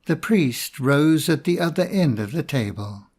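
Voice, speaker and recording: A man reading a storybook fairy tale in a measured, expressive storytelling manner. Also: on the high side, slightly rough. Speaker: storybook narrator.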